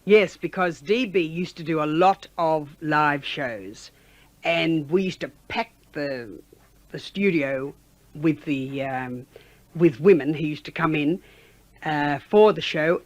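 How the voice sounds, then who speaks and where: A woman's voice: low voice, dry recording. Older Australian woman reminiscing, dry close sound. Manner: chatty, halting, with frequent "you know". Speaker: former radio announcer being interviewed. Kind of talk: conversational reminiscence.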